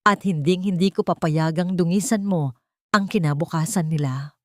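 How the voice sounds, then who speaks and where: A woman's voice: fairly low pitch, normal speed, no room sound, natural voice. A resolute, wronged mother in a radio drama, played by a woman narrator. She speaks with cold authority and firm, dramatic defiance. The recording is dry. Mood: defiant.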